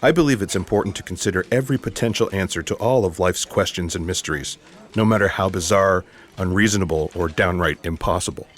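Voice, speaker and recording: An actor playing an earnest, eccentric intelligence agent in sci-fi audio theatre, speaking in a deliberate, formal, deadpan way. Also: male voice, low-pitched. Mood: earnest, composed.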